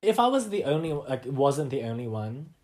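A man's voice: medium pitch, very animated, moderate pace, darkish tone. A young man with an Australian accent talking informally as an interviewee, self-deprecating and often acting out bits of dialogue. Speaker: interviewee, artist. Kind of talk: casual conversational interview.

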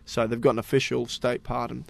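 A man's voice: medium pitch, level tone, a little fast, gravelly. A man co-hosting a casual podcast, telling stories to his co-hosts in a chatty way, with lots of fillers. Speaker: podcast co-host presenting stories. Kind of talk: casual group chat.

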